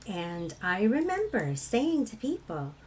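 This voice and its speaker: A woman from England with a low, husky voice, a singer telling personal stories in a reflective conversation. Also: huge pitch swings, slow, darkish tone, slight background noise. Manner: reflective, laughs often while telling stories. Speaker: kirtan singer.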